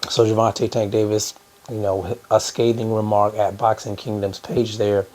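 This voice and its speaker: A hoarse man hosting a boxing news channel, talking casually to his viewers with lots of fillers. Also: lowish voice, level tone, normal speed, darkish tone. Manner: conversational, many "uh" fillers, addresses "guys". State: hoarse from a cold. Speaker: boxing YouTube host.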